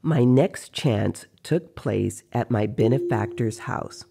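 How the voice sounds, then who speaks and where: A narrator telling a dramatized story in a measured, deliberate way with pauses. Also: medium pitch, animated, creaky. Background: music.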